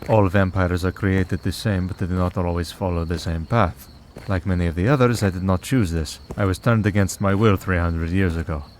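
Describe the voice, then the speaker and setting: A man playing a centuries-old vampire priest in an audio roleplay, calm, wry and merciful, talking in a dry, unhurried, politely sardonic way. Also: low-pitched, a little hiss or hum, close to an everyday voice. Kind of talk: scripted audio roleplay, ASMR drama.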